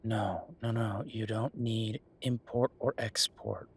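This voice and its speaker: A man playing an exasperated, firm werewolf bureaucrat in an audio roleplay, talking emphatically in a dry, husky voice. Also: lowish voice, steady tone, takes it slowly, exaggerated voice. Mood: patient, insistent. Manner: exasperated, emphatic, repeating himself. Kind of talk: audio roleplay acting, one side of a phone call.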